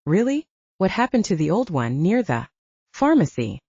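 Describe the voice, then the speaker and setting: A woman's voice: lowish voice, big pitch swings, moderate pace, mellow, husky, no room sound, muffled recording, heavily acted. Woman narrator as a guarded, polite younger heroine. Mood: surprised. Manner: restrained, polite. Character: guarded, polite woman back in her hometown.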